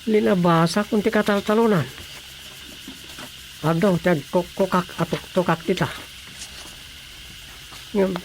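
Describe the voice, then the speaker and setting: An actress playing a bumbling, bewildered frog catcher, colloquial and exclamatory, in a Filipino comedy radio drama. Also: female voice, lowish voice, fairly even intonation, measured pace, long pauses, slight background noise, poor audio. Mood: musing.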